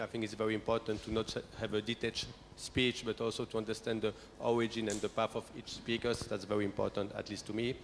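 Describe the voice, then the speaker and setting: A man moderating a conference panel, polite and measured. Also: lowish voice. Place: conference hall. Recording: long hall reverb, faint room noise.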